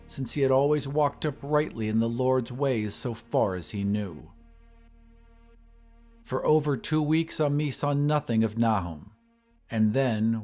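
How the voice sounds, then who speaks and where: A man reading a scripted horror story in a measured, literary storytelling manner. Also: mid-range voice, darkish tone. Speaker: audiobook narrator. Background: ambient sound effects.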